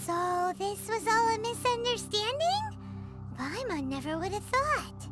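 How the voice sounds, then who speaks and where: A woman voicing a tiny, childlike, excitable fairy girl companion in a video game, squeaky and cutesy. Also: measured pace, heavily acted. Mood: surprised, curious. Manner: cutesy, exclamatory, refers to herself in third person. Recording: loud music behind the voice.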